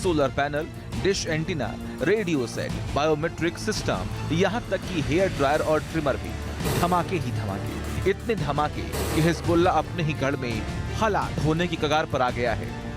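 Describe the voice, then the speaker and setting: High-pitched man, dramatic news host over music. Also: lively intonation, measured pace. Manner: emphatic, sensational delivery. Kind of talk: dramatic scripted news narration. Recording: music behind the voice. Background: dramatic background music.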